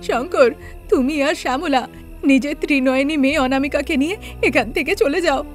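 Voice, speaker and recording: Cartoon priest female performer: male, pious, anxious, earnest, high register. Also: moderate pace, soft background music, natural voice. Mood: anxious, urgent. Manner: urgent, earnest warning.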